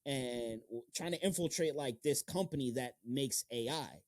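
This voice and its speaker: A man's voice: medium pitch, normal speed. A man hosting a casual interview podcast, talking in slangy, emphatic, heavily profane language.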